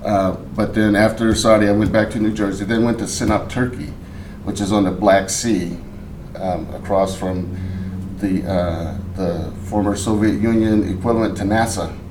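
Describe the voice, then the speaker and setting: A middle-aged man from Portland telling his life story in a casual interview, unhurried and halting, with many fillers and restarts. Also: lowish voice, steady tone, background noise. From Portland, Oregon. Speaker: interview guest, veteran. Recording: roomy, mic at a distance.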